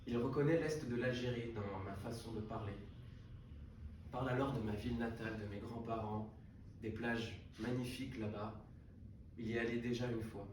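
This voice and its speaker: A man reading a literary novel aloud at a book prize event, unhurried and with frequent hesitations and restarts. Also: medium pitch. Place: salon of a literary hotel. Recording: echoey room, distant mic, background noise.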